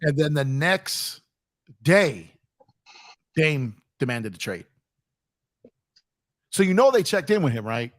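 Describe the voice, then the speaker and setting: A man with an American accent touched by New York, hosting a casual sports livestream in a slangy, repetitive, animated way. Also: fairly high pitch, takes it slowly, pauses often. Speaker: sports talk show host.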